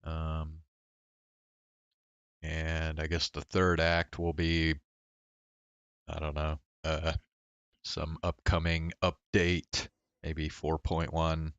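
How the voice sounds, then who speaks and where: Male game streamer, low and unhurried, casual hesitant rambling, smooth resonant tone. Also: completely flat delivery, fairly bright tone, no echo, highs cut off. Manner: rambling, hesitant, thinking aloud. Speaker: game livestreamer. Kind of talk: casual chat while playing a game.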